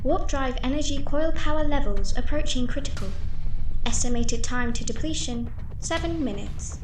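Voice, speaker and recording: A woman's voice: sits high, normal speed, noisy background, natural voice. A woman voicing an impersonal ship computer with formal, clipped announcements, echoey and distant. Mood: impersonal warning. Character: impersonal female ship computer giving warnings.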